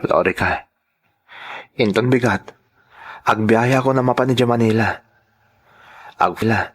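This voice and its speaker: A man's voice: lowish voice, measured pace, breathy edge. A man with an Ilocano accent playing a protective, dutiful husband in a radio drama, grave and insistent in delivery. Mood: resolute. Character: Rodel, protective, dutiful husband and tricycle driver.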